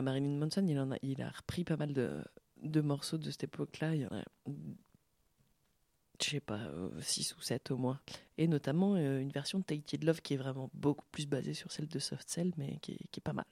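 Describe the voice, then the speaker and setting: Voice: medium pitch, moderate pace, creaky, darkish tone, no echo. A presenter talking casually and unscripted between music tracks, in a hesitant, rambling, informal way.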